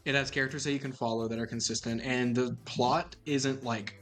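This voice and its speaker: Excitable young man rambling casually. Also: mid-range voice, a little fast. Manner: rambling, excitable, restarts often. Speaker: podcast co-host. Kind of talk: casual chat.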